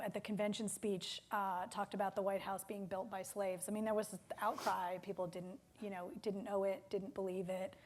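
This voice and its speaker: A woman interviewing someone on stage in a conversational way, with hesitant, restarting phrasing. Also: mid-range voice. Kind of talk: live onstage literary interview. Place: theater stage, public talk.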